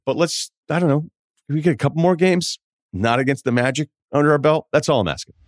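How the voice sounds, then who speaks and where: A man's voice: fairly high pitch, fairly quick, no echo, clean studio sound. A man hosting a sports podcast, giving informal, wry, conversational commentary.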